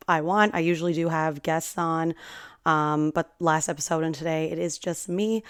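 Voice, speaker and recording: A woman in her twenties, slightly hoarse, hosting a solo podcast in a chatty, rambling, big-sister way. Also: sits low, no room sound, clean studio sound. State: slightly hoarse from singing at a concert. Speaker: podcast host. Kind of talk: casual solo podcast chat.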